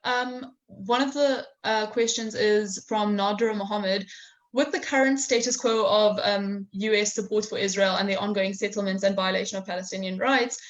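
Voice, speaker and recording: A young adult woman moderates an online discussion over a video call. She reads out audience questions hesitantly, filling pauses with ums and restarting her phrases. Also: medium pitch, steady tone, muffled recording. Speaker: host and moderator. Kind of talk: webinar interview.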